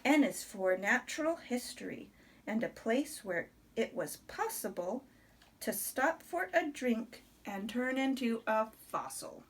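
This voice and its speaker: Female storybook reader for young children, higher-pitched and very animated, expressive rhyming delivery, simple home recording. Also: measured pace, a little hiss or hum. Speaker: read-aloud host reading to a small child. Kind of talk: picture-book read-aloud in rhyme with chatty asides to a child.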